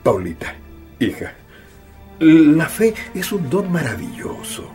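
A man's voice: fairly high pitch, big pitch swings, slow pace, darkish tone, faint music behind. A man playing a devoted, tender father in a radio drama, affectionate and coaxing in his delivery. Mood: pleading, emotional.

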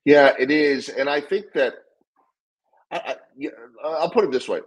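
Middle-aged man, husky voice, earnest interview guest who stumbles and repeats words as he goes. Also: fairly high pitch, a little slow, low-quality recording. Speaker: guest speaker on leadership. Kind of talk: conversational interview storytelling.

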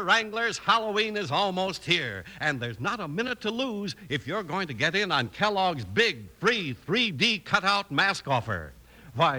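A male American announcer in classic 1950s radio style. His voice is fairly high, bright and forceful, with a hearty, lively swing meant for young listeners. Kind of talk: 1950s radio announcing, sales pitch and narration.

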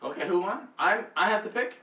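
A middle-aged mother playing a family party game, lively and poking fun at herself. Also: mid-range voice, brisk, far from the mic. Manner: lively, self-mocking, giggly. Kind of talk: casual chat. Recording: echoey room, away from mic.

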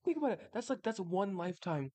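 A man's voice: high voice, sing-song, quick pace, a little mellow, dry recording. A man co-hosting a casual podcast, explaining things and dropping wry quips as he banters. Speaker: podcast co-host.

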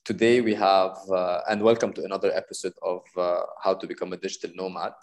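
Halting low-voiced male host. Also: level tone. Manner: halting, many filler uhs and restarts. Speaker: podcast host. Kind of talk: casual interview. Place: Bali.

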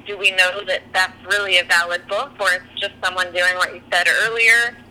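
A woman's voice: fairly low pitch, fairly even intonation, a little fast, pushed and bright, a little hiss or hum, low-quality recording. A woman guest talking candidly and easily in a podcast interview, an author and singer. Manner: chatty and candid, laughs easily.

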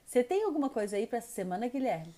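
A woman's voice: fairly high pitch, sing-song, rapid-fire, warm tone. A Brazilian woman co-hosting a podcast, chatting eagerly and joking about herself. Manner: enthusiastic, chatty, self-deprecating. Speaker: podcast co-host. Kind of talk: casual podcast chat. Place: home in France.

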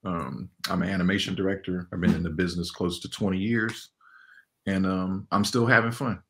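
A man's voice: deep voice, flat delivery, brisk, a bit bright. A man in his forties who grew up in Los Angeles, an animation director talking casually as a guest and laughing often between loose, filler-filled answers. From Los Angeles, California. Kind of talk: casual interview talk.

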